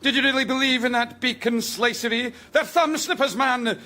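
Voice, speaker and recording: An actor playing a jovial, dandyish young Scottish secretary with a slyly sinister side, theatrical and insistent, in a radio comedy sketch. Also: male voice, very high voice, moderate pace, sharp tone. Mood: scolding.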